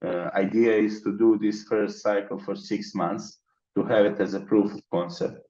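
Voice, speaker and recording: A man's voice: fairly low pitch, steady tone, moderate pace, mellow, dull audio. A man from the Balkans, a guest in an interview, explaining his plans methodically in long lists. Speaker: interview guest, mentorship organizer. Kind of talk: conversational interview.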